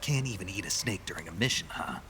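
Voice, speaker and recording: An actor playing a gruff, terse soldier spy in a video game, with a gravelly, dry American delivery. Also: male voice, sits low, lively intonation, fast, bright, forceful tone, faint music behind, heavily acted. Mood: wry. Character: gruff laconic male soldier spy on a survival mission. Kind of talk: video game cutscene acting. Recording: noisy ambient background.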